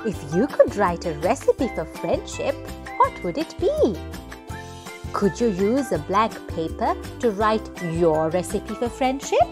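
Young woman, children's storyteller, slow pace, lively swooping intonation, music behind. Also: medium pitch. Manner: slow, expressive, measured for children. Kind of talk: scripted children's read-aloud and questions.

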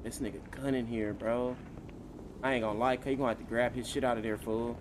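A man's voice: mid-range voice, steady tone, fast talker, background noise. A man streaming a role-play game, talking casually in heavy slang with plenty of swearing. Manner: profane, slangy.

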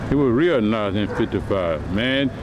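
A man's voice: fairly low pitch, animated, moderate pace. An older man from Georgia, reminiscing in an easygoing, repetitive way outdoors by parked cars. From Georgia, USA. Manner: easygoing, repeats himself, says "man". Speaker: interviewee. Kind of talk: casual reminiscing. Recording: street noise.

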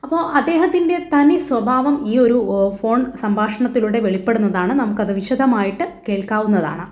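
A woman commentator speaks in a fairly high voice. She talks informally to her viewers, explaining and commenting on a news story, and the room around her is noticeably echoey. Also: normal speed, a little mellow. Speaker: YouTube commentator and host. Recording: echoey, roomy voice-over.

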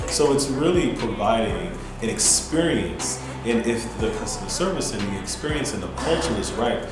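Haitian-born man in his thirties, lower voice, earnest interview answers on a lapel mic, echoey room with music underneath. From Haiti, raised in Brooklyn. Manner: earnest, fillers and rhetorical questions. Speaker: interviewed founder. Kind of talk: sit-down interview. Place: indoor brick-walled loft.

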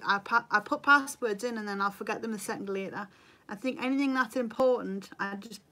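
A woman's voice: medium pitch, bright, forceful tone. A woman in her thirties with a Geordie accent, chatting casually on a makeup livestream, a bit tired, reading out viewer comments from her bedroom webcam. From North East England. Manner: chatty, reads viewer comments aloud, laughs easily. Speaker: beauty vlogger. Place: bedroom at home, indoors. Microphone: webcam at desk.

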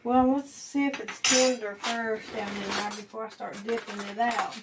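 A woman's voice: fairly high pitch, steady tone, normal speed, heavy background noise. A woman in her sixties with an Appalachian accent, hosting a casual cooking vlog in her home kitchen, folksy and teasing. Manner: folksy, teasing, laughs often. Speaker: home cooking show host.

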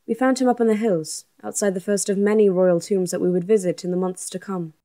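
A woman's voice: medium pitch, steady tone, a little fast, warm tone, no room sound, lightly acted. A woman playing a fiery, grieving young princess and protective twin sister in an audio drama. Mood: wistful. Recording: music under the voice.

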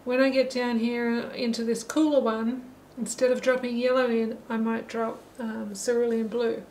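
Middle-aged woman, gravelly and steady, talking through her painting in a holiday flat, faint hiss. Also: on the high side, moderate pace, poor audio. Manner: relaxed, thinking aloud while painting. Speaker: watercolour teacher. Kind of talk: painting tutorial narration. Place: holiday apartment, at a table indoors. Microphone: camera mic above the table.

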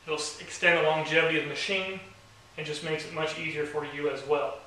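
Young man, plainspoken with dry humor, bright voice, heard from far off in a booming garage. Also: steady tone, a little fast, slight background noise. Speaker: company owner demonstrating a product. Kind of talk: product demo talking to camera. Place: garage workshop, indoors. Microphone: camera mic from across the room. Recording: very echoey garage, heard from a distance.

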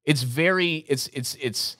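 A man's voice: on the high side, unhurried, pushed and bright, no room sound. A man in his forties co-hosting a business podcast, earnest and motivational, repeating his points, on a studio mic in a gym. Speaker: podcast co-host. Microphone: studio mic on boom arm, headphones.

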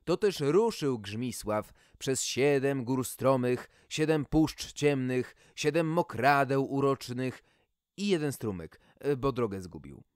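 A man's voice: mid-range voice, lively intonation, slow pace, clear, resonant tone, dry recording, studio quality. A man reading a humorous fairy tale as podcast host, in a theatrical, folksy storytelling manner.

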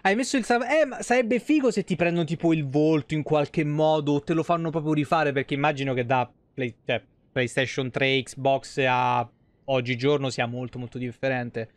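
A man with a smooth, fairly high voice and a Roman Italian accent. He talks like a game streamer chatting to viewers, rambling in colloquial, sweary speech. The sound is dry and close. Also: moderate pace, no echo. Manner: colloquial, swearing, animated digressions. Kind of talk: casual livestream commentary, chatty. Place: small indoor streaming room.

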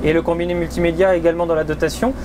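A man, presenting a vehicle review in a measured, explanatory way into a lapel mic. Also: a little high, little pitch movement, background noise. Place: outdoors by a river and inside a motorhome.

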